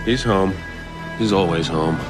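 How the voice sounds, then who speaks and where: A gruff man of few words in a game drama, very low in pitch. Loud music covers the scene. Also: measured pace. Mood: terse, grim.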